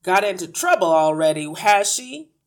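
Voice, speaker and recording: A woman's voice: on the low side, very animated, moderate pace. A woman voicing a small, irritable, dismissive man in a storybook, barking his words impatiently. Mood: brusque, suspicious. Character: small ratty irritable dismissive man, a gruff suburban father. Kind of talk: acted audiobook dialogue.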